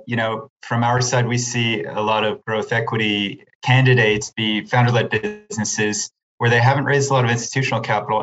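A man's voice: fairly low pitch, fairly bright tone, highs cut off. A man speaking as an interview guest, explaining business points. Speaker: podcast guest, investment firm co-founder.

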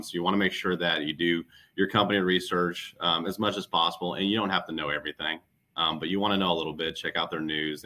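A man speaking as a guest recruiter, giving conversational career advice in a halting way, often rephrasing himself. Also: a bass voice, bright, forceful tone.

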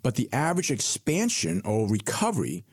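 A man's voice: mid-range voice, huge pitch swings, normal speed, darkish tone, husky, dry recording, clean studio sound. A man co-hosting a retirement radio show as a financial advisor, talking in a conversational, reassuring way and leaning hard on key figures.

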